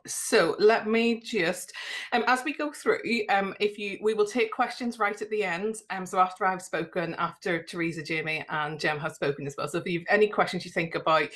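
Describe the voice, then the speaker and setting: A woman's voice: low voice, fairly bright tone. A woman with a Northern Irish accent, a GP giving a friendly webinar presentation over a video call, talking loosely with many fillers and restarts. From Northern Ireland.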